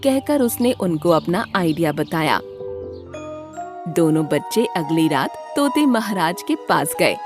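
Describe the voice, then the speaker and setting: A woman's voice: fairly low pitch, very animated, measured pace. A woman reading scripted narration for a children's story as the storyteller. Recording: music behind the voice.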